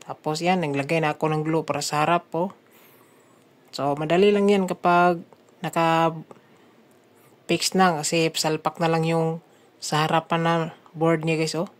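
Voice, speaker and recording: A Filipino woman with a fairly low voice narrates a hands-on how-to video. She speaks in a casual, conversational way and often addresses her viewers as a group of friends. Her delivery is that of a DIY builder explaining the work step by step. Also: flat delivery, moderate pace, pauses often. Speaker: DIY speaker-box builder and vlog host.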